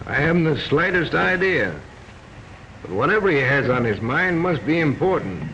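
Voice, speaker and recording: An earnest puzzled guest, lively voice, dull radio sound. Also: male voice, fairly high pitch, moderate pace. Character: puzzled man, earnest and thoughtful. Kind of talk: 1940s radio acting. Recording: dull old radio recording with faint hiss.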